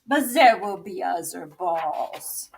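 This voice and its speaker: A woman's voice: on the low side, expressive, takes it slowly, gravelly, far from the mic, a little hiss or hum, pitched below the performer's own voice, exaggerated voice. A woman voicing a mocking, cruel stepmother from a storybook with false sympathy. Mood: falsely sympathetic.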